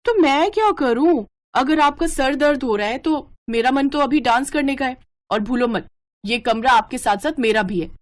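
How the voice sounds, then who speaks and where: A woman voicing a bratty, defiant young sister-in-law in a story, with a shrill, taunting manner. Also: lively intonation, normal speed.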